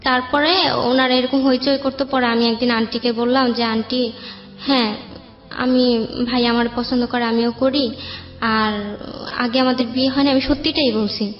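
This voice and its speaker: A woman's voice: fairly high pitch, steady tone, normal speed, long pauses. A young woman with a Bangladeshi accent recounts her life in a colloquial, rambling way as an interview guest. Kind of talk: personal storytelling in an interview. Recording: dull roomy sound, background music.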